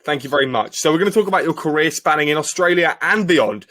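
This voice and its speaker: A man's voice: fairly high pitch, lively intonation, fast talker, a bit bright. A man hosting a podcast, introducing his guest and asking questions in an upbeat way. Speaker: podcast host. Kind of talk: interview questions.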